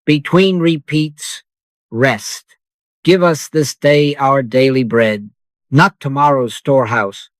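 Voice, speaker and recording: Soft-spoken man guiding a prayer for sleep. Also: mid-range voice, no echo. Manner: soft, slow and unhurried, with long pauses. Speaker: meditation guide.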